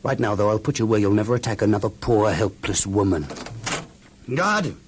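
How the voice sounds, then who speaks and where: A man's voice: lowish voice, moderate pace, a little hiss or hum, close to an everyday voice. A man playing an outraged, commanding captain and wronged husband in a children's audio Bible drama, accusing and authoritative. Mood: angry.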